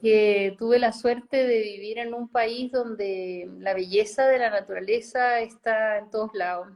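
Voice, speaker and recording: A woman's voice: on the high side, steady tone, measured pace, a little mellow. A woman from Chile and Costa Rica talking casually as an interview guest, in loose, rambling phrases. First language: Spanish.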